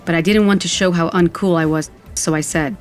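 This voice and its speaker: Woman narrator, lower voice, wistful, vivid storytelling, scripted audio fiction. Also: fairly even intonation, a little fast, soft background music.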